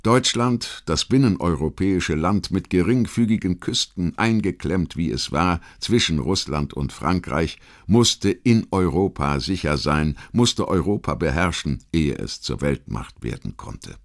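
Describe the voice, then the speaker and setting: Man, very deep bass voice, documentary audiobook narration, measured literary phrasing, scripted delivery. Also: lively intonation.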